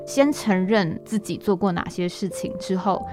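A woman's voice: medium pitch, expressive, normal speed. A woman with a Taiwanese accent hosting a conversational interview, speaking in long, reflective turns. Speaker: podcast host interviewing a guest. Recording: music playing behind the voice.

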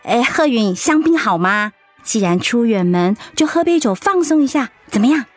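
A woman's voice: fairly high pitch, very animated, moderate pace, soft background music. An actress playing an outgoing, candid middle-aged woman in a conversational radio drama. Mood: friendly, cheerful. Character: warm, outgoing middle-aged woman, breast cancer survivor.

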